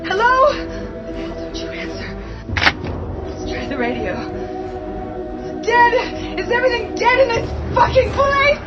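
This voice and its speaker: A distraught young woman in shock in a horror radio drama. Her pleading voice is extremely high, under loud music on a dull recording. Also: big pitch swings, a little slow, long pauses, heavily acted. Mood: panicked.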